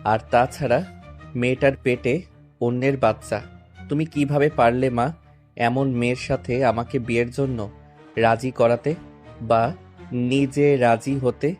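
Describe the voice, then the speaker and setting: A man's voice: mid-range voice, steady tone, unhurried, long pauses, darkish tone, soft background music, natural voice. A man playing a humble young son in an audio story drama, pleading in a subdued, hurt way about being forced into marriage. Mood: hurt, reproachful.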